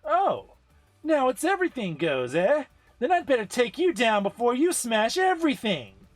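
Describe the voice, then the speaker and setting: A brash young woman fighter, acted out by a man, pitched well above natural talk and swooping theatrically. The voice is rough-edged and taunting, hot-tempered and overdramatic, over clear background music. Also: big pitch swings, normal speed, no room sound, heavily acted. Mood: cocky, taunting. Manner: shouted, taunting, overdramatic delivery.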